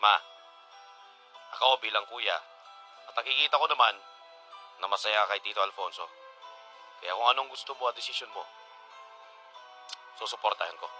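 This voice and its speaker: A man playing a supportive, excitable eldest son in a Tagalog radio drama. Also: fairly low pitch, normal speed, long pauses, soft background music. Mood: earnest, supportive.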